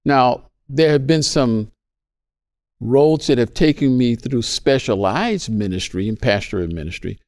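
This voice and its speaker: A man in his sixties, a pastor, telling an interviewer about his calling in an earnest, measured way. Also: medium pitch, expressive, a little mellow, no echo, studio quality. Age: 60s. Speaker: pastor, guest. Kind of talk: interview talk.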